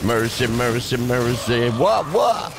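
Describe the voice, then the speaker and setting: A man giving casual let's play commentary, talking to himself with excitable exclamations and repeated words. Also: medium pitch, takes it slowly, noisy background. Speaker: video game streamer. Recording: game music and gunfire behind. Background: game music and gunfire.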